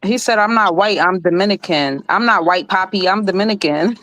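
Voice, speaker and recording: Very high-pitched man, blunt banter. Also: a little fast, pushed and bright, no room sound. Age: early 30s. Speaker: livestream panelist. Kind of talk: casual banter.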